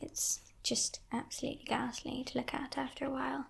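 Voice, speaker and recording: A woman voicing a playful, menacing female demon in a breathy, teasing whisper for an ASMR roleplay. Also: high-pitched, flat delivery, full character voice. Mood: breezy, condescending. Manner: teasing, condescending, softly sinister, unhurried.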